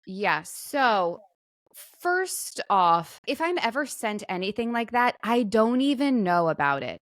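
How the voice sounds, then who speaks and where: A woman's voice: a little high, sing-song, slow pace, a bit bright, no echo, clean studio sound. A woman co-hosting a podcast in casual comedic chat, frank and wry in a conversational way. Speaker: podcast co-host.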